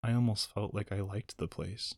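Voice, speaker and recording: A man's voice: on the low side, fairly even intonation, moderate pace, dry recording, lightly acted. A man voicing a curious, eager young male hobbit. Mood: wistful.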